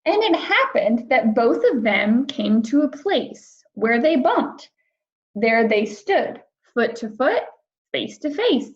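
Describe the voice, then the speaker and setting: High-voiced, slow-paced woman teacher reading aloud. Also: big pitch swings, dull audio. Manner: simple, emphatic phrasing addressed to young children. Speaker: schoolteacher. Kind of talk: children's read-aloud and lesson.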